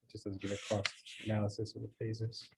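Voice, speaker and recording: A man's voice: on the low side, completely flat delivery, fast talker, gravelly, background noise. A man speaking as a town official, reading out and discussing documents in a meeting.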